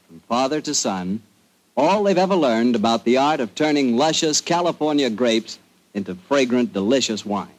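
A man announcing on 1940s radio, delivering a sponsor pitch in a folksy, salesmanlike manner. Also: rough recording.

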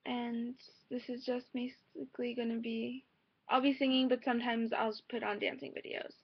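A woman's voice: fairly high pitch, level tone, normal speed, slightly rough. A young woman chatting casually and informally to her viewers in a rambling way, recorded on a laptop in a bedroom. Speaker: amateur singer and vlogger. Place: bedroom, indoors. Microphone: laptop's built-in mic at arm's length. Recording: dull lo-fi laptop microphone.

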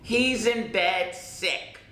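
Guy doing a high, bright, bouncy cousin character, talks slow, echoey room. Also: exaggerated voice. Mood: earnest. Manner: animated, gestures a lot, repeats and restarts. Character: male cousin, chatty, self-doubting English learner. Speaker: English teacher in character. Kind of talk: playful character monologue to camera. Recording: roomy echo, faint rumble.

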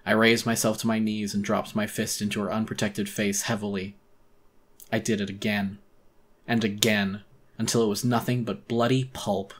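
A man narrating a horror story as a dramatic audiobook reading, intense, theatrical and deliberate. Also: on the low side, little pitch movement.

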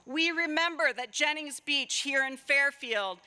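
A middle-aged woman, a political scientist, giving a formal prepared keynote speech at an outdoor lectern with measured, deliberate pauses. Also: high voice, pushed and bright. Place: outdoors at a stone university building, ceremony. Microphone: lectern microphones.

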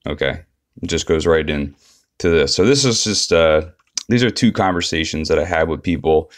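A man's voice: low voice, no echo, studio quality. A man giving a casual, unscripted walkthrough of an app as a tutorial presenter.

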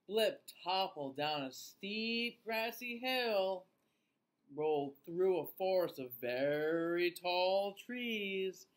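Male, thirties, children's read-aloud teacher; swooping intonation, very unhurried, exaggerated delivery. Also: sits high. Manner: animated, exaggerated, drawn-out delivery with gasps. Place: small indoor room, seated facing camera.

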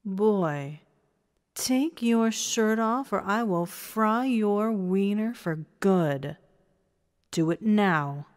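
A woman's voice: mid-range voice, expressive, drawn-out words, a little mellow. A woman playing a domineering, condescending woman who commands and taunts in an audio story. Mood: threatening. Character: domineering, condescending woman running a training program. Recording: reverberant hall-like echo.

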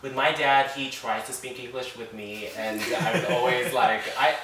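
A young man whose first language is Japanese chats on a panel, halting and repeating words. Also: medium pitch, fairly even intonation, a crowd in the background. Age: young adult. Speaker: panel guest. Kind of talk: casual chat. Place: echoey living room indoors. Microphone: far camera mic. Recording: roomy echo, distant mic, faint hiss.

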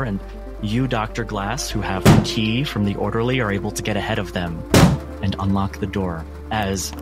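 A man working as game master, narrating a tabletop roleplaying game in a measured, deliberate way with dramatic pauses. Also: lowish voice.

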